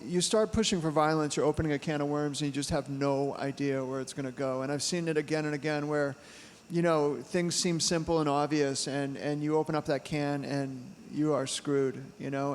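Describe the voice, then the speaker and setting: A middle-aged man answers questions at a live panel, searching for words with plenty of ums and restarts. His voice sits a little high with a flat, even line, and it carries a long reverb through a brewery hall over faint room hum. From United States. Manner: hesitant, many fillers and restarts. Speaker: photojournalist speaker.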